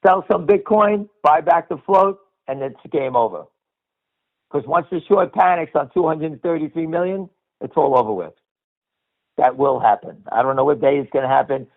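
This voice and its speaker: A muffled, lo-fi, radio-like line carries an older man with a fairly high-pitched voice. He gives off-the-cuff market commentary as a call host, blunt and profane, hammering his points by repeating them. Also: normal speed. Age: middle-aged or older. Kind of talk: unscripted opinionated market commentary.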